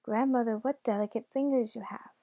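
A woman's voice: fairly high pitch, fairly even intonation, fairly quick, mellow, dry recording. A woman voicing a sullen, easily enraged male devil in a told story, grumbling and exclaiming. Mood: questioning, needy.

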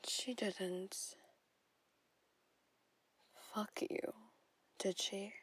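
Woman voicing a jealous controlling stepmother, breathy close whisper, slow unhurried delivery, dry sound. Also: medium pitch, fairly even intonation, no echo, full character voice. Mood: soothing, possessive. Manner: intimate whispering, coaxing and controlling. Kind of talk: whispered audio roleplay.

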